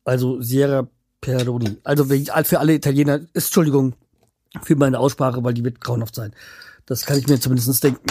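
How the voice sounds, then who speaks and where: A man's voice: mid-range voice, steady tone, a little fast, warm tone, a little hiss or hum. A man from the Hanau area, chatting casually to his viewers at home in a rambling way, trailing off and restarting with many fillers. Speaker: vlogger. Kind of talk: casual chat to camera.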